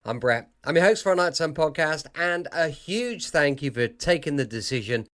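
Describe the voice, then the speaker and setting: A man's voice: on the high side, expressive, moderate pace, a bit bright. A man hosting a podcast with a chatty, effusive, informal introduction. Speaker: podcast host.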